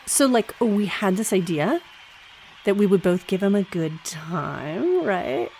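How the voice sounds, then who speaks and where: Young groupie girl, giddy gushing confession, very drawn-out delivery, animated pitch, audio comedy, light background noise. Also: low-quality recording. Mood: gossipy. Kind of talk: comic audio drama monologue.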